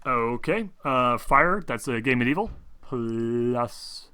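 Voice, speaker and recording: Bright-voiced man running a tabletop game. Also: mid-range voice, expressive, takes it slowly, clear, resonant tone. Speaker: game master. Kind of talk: casual narrating and rules talk in a tabletop game.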